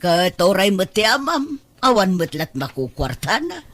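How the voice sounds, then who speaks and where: A woman acts as a middle-aged mother in an Ilocano audio drama. Her mid-pitched voice carries an Ilocano Filipino accent, and she sounds plaintive and reproachful, nagging like a needy parent. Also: sing-song, normal speed, dry recording. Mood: weary, resentful.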